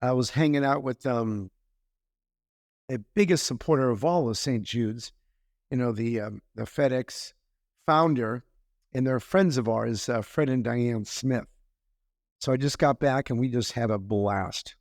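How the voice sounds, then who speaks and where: An easygoing man co-hosting a podcast, rambling a little through his stories with fillers. His voice sits at a middle pitch and sounds close and dry. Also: a little slow, a little mellow, no echo. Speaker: podcast co-host. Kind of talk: casual chat.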